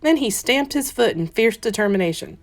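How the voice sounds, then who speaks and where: A woman, a teacher, reading a children's picture book aloud in an expressive, carefully phrased, dramatic manner. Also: fairly high pitch, moderate pace. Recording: soft guitar music behind voice. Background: plucked guitar music.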